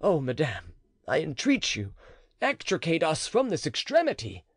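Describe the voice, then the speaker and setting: Desperate nobleman, pleading, slightly high gravelly voice, dry close sound, read by a man. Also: huge pitch swings, normal speed, dry recording, exaggerated voice. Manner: pleading, emotional. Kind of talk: audiobook character reading.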